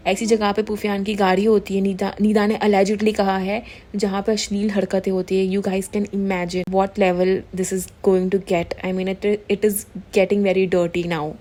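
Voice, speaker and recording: A young woman talks through influencer gossip in a relaxed, chatty way. She mixes Hindi and English as she goes, and her voice sounds mellow and warm. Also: mid-range voice. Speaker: gossip commentary host. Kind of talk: casual Hindi-English commentary.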